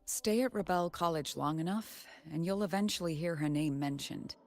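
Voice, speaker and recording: Woman acting a composed, low-voiced female professor. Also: darkish tone, close to an everyday voice. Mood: thoughtful. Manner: measured, courteous. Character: composed, authoritative female professor and academy president. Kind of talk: video game voice acting. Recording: music under the voice.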